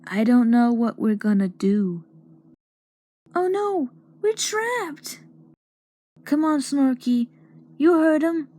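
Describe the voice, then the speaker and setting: A woman voicing an excitable, easily frightened cartoon girl adventurer, acting out voicelines in a theatrical, expressive way. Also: high-pitched, slow pace, long pauses, no room sound, clean studio sound. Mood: worried. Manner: theatrical, expressive line delivery. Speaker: amateur voice actor. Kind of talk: acted character voicelines.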